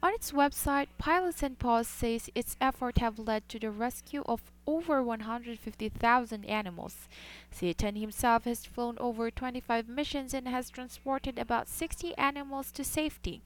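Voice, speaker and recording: A woman with a somewhat high voice reads a scripted news feature. She keeps a measured, even news delivery throughout. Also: rough recording. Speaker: news reporter.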